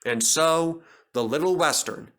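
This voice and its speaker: A man reading a children's story as narrator, in an expressive scripted style. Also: a little high, a little slow, vocal fry.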